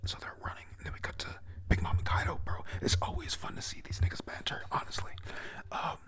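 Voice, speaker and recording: A young adult whispering a rambling, excited play-by-play recap at home, full of fillers. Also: fast talker. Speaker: anime episode recapper. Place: at home with family nearby.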